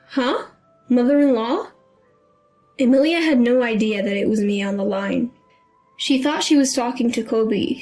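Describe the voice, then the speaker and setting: A woman's voice: a little high, a little mellow. A woman reading a scripted first-person story as its narrator.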